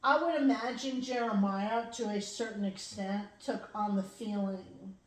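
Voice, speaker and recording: A woman in her thirties leading a casual Bible study chat, giggly and animated, laughing often. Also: medium pitch, unhurried. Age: 30s. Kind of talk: casual group discussion. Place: indoor room shared with others. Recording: echoey room.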